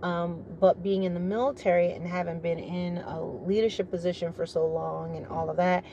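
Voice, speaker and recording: A middle-aged woman, a retired soldier, with a naturally deep, rich voice, speaking in a measured, formal way, as if giving a briefing. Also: fairly even intonation, gravelly, dark tone, a little hiss or hum. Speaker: retired military vlogger. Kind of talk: opinionated monologue to camera.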